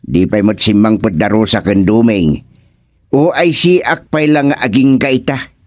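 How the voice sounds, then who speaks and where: A man's voice: on the low side, measured pace, darkish tone, dry recording, clean studio sound, natural voice. A man playing an easygoing village husband in a radio comedy drama, speaking with an Ilocano accent. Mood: explaining.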